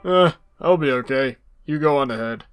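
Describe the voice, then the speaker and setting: An actor voicing a weary, gentle, evasive skeleton older brother with hesitant, subdued speech in a fan comic dub. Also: mid-range voice, moderate pace, clear, resonant tone, faint music behind, close to an everyday voice. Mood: tired, evasive.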